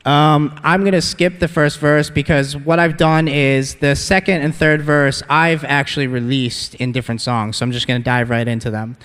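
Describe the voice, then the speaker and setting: A man's voice: fairly high pitch, level tone, normal speed, few pauses, a bit bright, smooth. A man, an MC giving a workshop, talking loosely to an audience with many fillers. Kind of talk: casual talk to an audience. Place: conference hall. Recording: reverberant hall.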